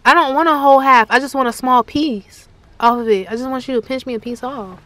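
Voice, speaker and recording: A woman's voice: high voice, brisk, fairly bright tone, dry recording. A young adult woman chatting casually with friends on a handheld vlog camera. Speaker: vlogger. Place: inside a vehicle and a convenience store at night. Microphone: handheld vlog camera at arm's length. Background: music.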